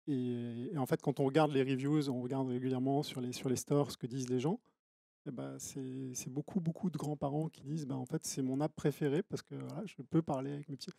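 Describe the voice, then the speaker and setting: A man speaking on a panel as an app founder, relaxed and enthusiastic. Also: medium pitch, a little fast, creaky, dry recording. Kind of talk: panel discussion.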